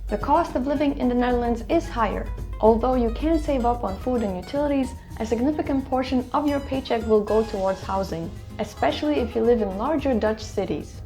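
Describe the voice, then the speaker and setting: A woman with a fairly high voice narrates a scripted explainer. Her delivery is even and informative, and faint music plays behind her. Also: brisk. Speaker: video narrator.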